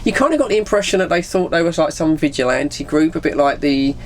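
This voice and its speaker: A man's voice: a little high, animated, fast, a little hiss or hum. A middle-aged man with an English accent chats casually and informally, rambling through a personal anecdote with plenty of slang. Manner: informal British slang, acts out bits of dialogue. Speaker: vlogger telling personal stories.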